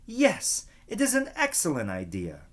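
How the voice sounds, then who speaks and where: An encouraging male schoolteacher from a storybook addresses his class, read by a man. His pitch is lifted and varied. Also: wildly swooping pitch, unhurried, exaggerated voice.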